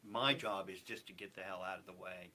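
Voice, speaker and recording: Bright, forceful man, effusive, with background noise. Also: deep voice, fairly even intonation, a little fast. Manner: effusive, emphatic. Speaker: theater company member, guest. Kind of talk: casual interview talk.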